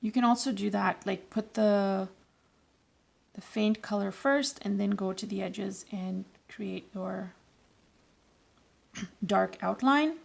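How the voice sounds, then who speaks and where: A woman with a raspy voice today, giving loose, rambling, self-correcting instructions in a casual watercolour tutorial. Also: mid-range voice, slow, long pauses. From Canada. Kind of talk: casual painting tutorial voice-over.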